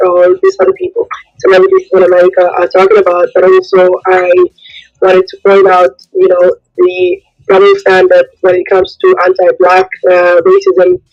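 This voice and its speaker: A woman's voice: mid-range voice, very animated, moderate pace, creaky, a little mellow. A woman born in Somalia calling in to a radio show, speaking earnestly with many hesitations. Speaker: caller. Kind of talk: phone-in comment. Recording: muffled phone-in line.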